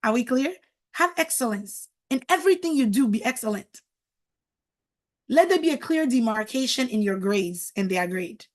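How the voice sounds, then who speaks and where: A woman's voice: on the high side, animated, moderate pace. A middle-aged woman whose first language is French, giving a motivational talk as a marriage coach, emphatic and preachy, repeating words for stress.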